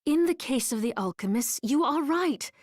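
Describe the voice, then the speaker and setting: Composed, high-voiced female ally. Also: animated, darkish tone, dry recording, studio quality. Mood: firm. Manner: measured, formal. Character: composed, gently authoritative young woman ally. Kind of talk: video game voice acting.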